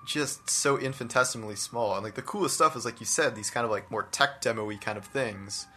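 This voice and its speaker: Bright-voiced man in casual discussion. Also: mid-range voice, fairly quick, slight background noise. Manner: conversational, hedging with "like" and "you know". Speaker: podcast co-host discussing video games.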